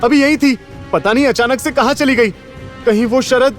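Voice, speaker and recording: A man's voice: very high voice, moderate pace, soft background music, pitched above the performer's own voice. A man voicing an anxious young college student who rambles and stammers in panic, in an audio drama. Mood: panicked, worried. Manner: agitated, stammering, non-stop talking. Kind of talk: Hindi audio drama acting.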